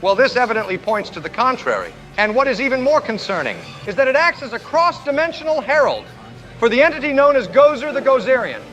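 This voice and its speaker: An actor playing an excitable, earnest ghost hunter in a motion comic, dramatic and formally wordy. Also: male voice, extremely high pitch, quick pace, lo-fi sound, full character voice. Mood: awed. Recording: loud music behind.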